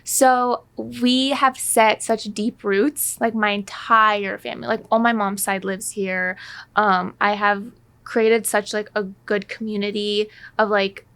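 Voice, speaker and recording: A woman's voice: medium pitch, flat delivery, slow pace, a bit bright, clear, resonant tone. A woman in her twenties co-hosting a podcast, talking casually with many fillers. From born in Iraq, raised in San Diego. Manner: chatty, lots of fillers. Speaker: podcast co-host. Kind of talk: casual chat.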